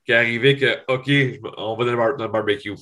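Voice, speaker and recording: Halting québécois host asking questions. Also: male voice, mid-range voice, fairly even intonation, sharp tone. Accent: Québécois French. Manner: halting, repeats words, informal. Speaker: interviewer, host. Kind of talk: casual interview.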